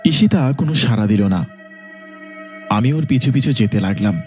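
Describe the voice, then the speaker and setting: Guy narrating a horror story, lively swings in pitch, music loud behind him. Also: normal speed.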